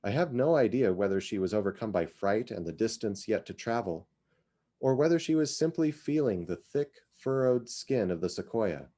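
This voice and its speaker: A man with a fairly low voice reads a piece of literary fiction aloud. He narrates in the first person, as a dramatic reading of prose. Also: lively intonation, moderate pace, warm tone. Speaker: reader of fiction.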